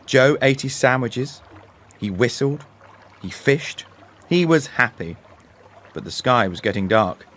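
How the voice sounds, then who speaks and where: A man reading a children's story in a measured, deliberate, dramatic way. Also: mid-range voice, animated, fairly bright tone. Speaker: story narrator. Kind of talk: expressive scripted storybook narration for learners.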